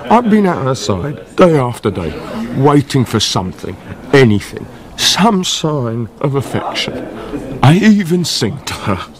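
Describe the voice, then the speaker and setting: An actor playing a jealous, hot-headed young fisherman in a radio drama, with an impassioned delivery. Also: medium pitch. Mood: jealous, bitter. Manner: impassioned, volatile. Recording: tavern chatter behind.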